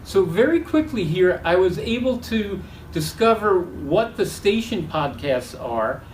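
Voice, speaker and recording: A man demonstrates a product in a somewhat high voice. He sounds a little way from the microphone in an echoey room, with slight noise behind him. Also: big pitch swings, unhurried. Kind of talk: spoken product demo. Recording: roomy, distant mic, faint noise.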